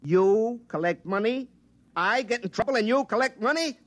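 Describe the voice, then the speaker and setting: An American man playing an excitable, lovesick young small-town repairman in 1950s radio drama, with a folksy manner. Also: high-pitched, normal speed, no echo. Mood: indignant. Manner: folksy, stammering when flustered. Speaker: radio drama lead and narrator. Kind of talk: 1950s radio acting, first-person storytelling. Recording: vintage radio drama with music.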